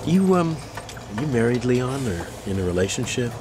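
Actor as anxious, animated voice-over man. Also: medium pitch, normal speed, background noise, close to an everyday voice. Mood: nervous. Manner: hesitant, stammering. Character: anxious, eager, deferential male voice-over actor. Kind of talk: radio drama acting.

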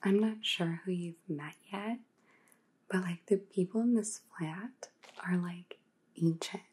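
Snide young vampire housemate, a woman acting, extremely breathy whispery drawl, disdainful ASMR roleplay. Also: on the low side, slow pace, darkish tone, full character voice. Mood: gossipy, disdainful. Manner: disdainful drawl, filler-heavy valley-girl talk.

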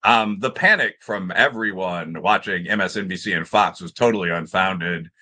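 A man's voice: sits low, moderate pace, sharp tone. A middle-aged man on a panel video call, arguing off the cuff in an academic, discursive and emphatic manner. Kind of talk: extemporaneous panel discussion argument. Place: indoor room with bookshelves, on a video call.

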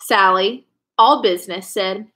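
A woman's voice: medium pitch, measured pace, bright, forceful tone. A young woman reading a children's novel aloud in a storytelling style, indoors at home. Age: young adult.

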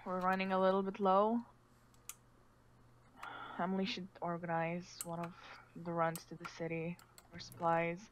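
A level-headed female survivor, acted by a woman in a game roleplay. Her voice is slightly low, casual and measured, over faint noise and key clicks. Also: monotone, close to an everyday voice. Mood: calm, concerned. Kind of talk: amateur roleplay acting.

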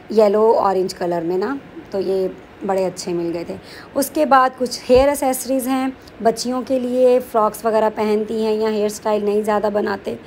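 A woman's voice: mid-range voice, slight background noise. A woman with a Pakistani Urdu accent narrating a shopping vlog in a chatty, upbeat way, mixing in English words. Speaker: vlogger.

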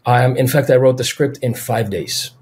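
A man in his forties from Belarus, a native Russian speaker with a Belarusian accent, answering interview questions over a video call in a measured, thoughtful way. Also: mid-range voice, darkish tone. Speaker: film director guest. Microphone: video call, webcam.

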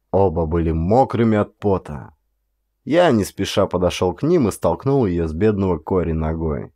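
Male actor for dark fiction audiobook: low voice, expressive brooding delivery, very little room sound. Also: dry recording, clean studio sound. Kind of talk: dramatic first-person fiction narration.

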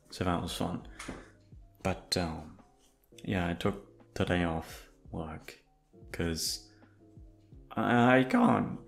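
Casual, rambling man with a creaky voice. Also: sits low. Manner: rambling, informal, swears. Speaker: voice actor addressing listeners. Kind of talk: casual chat.

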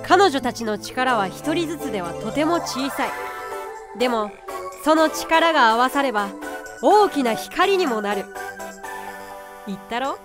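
A woman's voice: sits high, animated, measured pace, pauses often, over music. A woman voicing a small, confident unicorn guardian in an anime-style voice drama, boyish and theatrical. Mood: confident, persuasive. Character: small cute unicorn guardian beast, confident scheming mentor.

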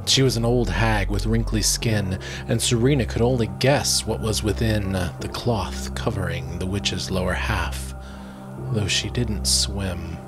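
Male storyteller, thirties, dramatic rhymed narration, unhurried, close mic, faint music behind. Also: lowish voice. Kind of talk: dramatic fairy-tale narration in verse. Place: small book-lined room, indoors. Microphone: close desk microphone.

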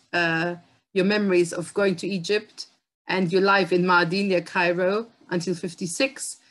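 A middle-aged woman interviewing over a video call from home, asking questions in a polite, halting way. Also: mid-range voice. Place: home room with bookshelves. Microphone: headset on a video call.

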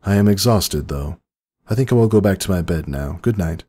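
A man voicing a gentle, weary, ageless pony princess in a soft, halting, reassuring manner for a story reading. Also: low voice, expressive, husky, voice pushed up. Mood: feigned calm. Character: gentle, weary, ageless royal pony mare, elder sister.

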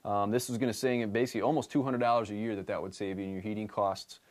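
A man in his thirties, an energy auditor, explaining an on-site home inspection in a relaxed way with many fillers, recorded in a house basement. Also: fairly low pitch, rapid-fire. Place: basement crawl space and attic of a house.

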